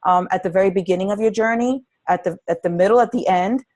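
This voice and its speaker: A woman's voice: medium pitch, quick pace, a little mellow. A woman leading a live conference-call training for new fitness business coaches, talking earnestly and encouragingly with frequent ums and restarts.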